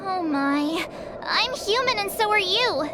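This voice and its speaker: A woman's voice: extremely high pitch, lively intonation, slow pace, noisy background, full character voice. A woman voicing a breezy young female game character, chatty, bemused and teasing. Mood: exasperated. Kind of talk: video game voice acting.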